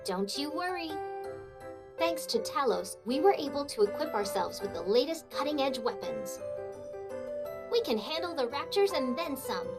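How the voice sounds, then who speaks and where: High, animated, proud kingdom woman. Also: moderate pace. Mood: proud, confident. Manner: grandiose, emphatic, gushing. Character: proud, effusive young woman of a castle kingdom. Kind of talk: video game voice acting. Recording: loud music over the voice.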